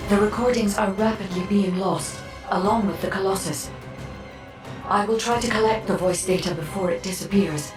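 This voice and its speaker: A woman playing a composed, analytical guide in a video game, with a formal, measured, clinical delivery. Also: medium pitch, close to an everyday voice. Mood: alert. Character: composed analytical female guide, serious and precise. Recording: echoing, distant, faint music behind.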